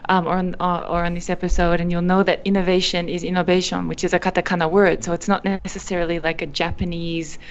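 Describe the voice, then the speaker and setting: A young woman from Australia answering interview questions, animated, with frequent fillers and restarts. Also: on the low side, quick pace, few pauses, dull audio, a little hiss or hum. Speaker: guest, company founder. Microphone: video call.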